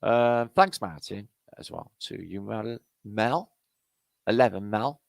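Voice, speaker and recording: Welsh male stream host, middle-aged, low gravelly voice, dry close sound, rambling and sweary. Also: lively intonation, slow, no echo. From Wales. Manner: rambling, swears a lot, greets chat names. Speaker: livestream host. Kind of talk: casual live-chat banter.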